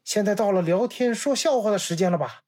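A man's voice: sits high, brisk, darkish tone, voice pushed up, close to an everyday voice. A man voicing a rude, bored heckler at a literary club, whiny and dismissive, in a dramatized story. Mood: bored, scornful.

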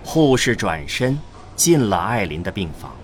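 A man's voice: lowish voice, sing-song, a little slow, slight background noise. A man narrating an audio drama from a script in a dramatic storytelling style.

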